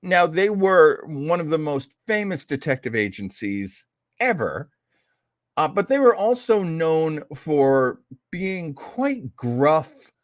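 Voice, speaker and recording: Male host, casual and rambling, slightly high voice with lively swings, dry close recording. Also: slow, no room sound. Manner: rambling, hesitant, animated. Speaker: show host. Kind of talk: casual hosting of old-time radio show.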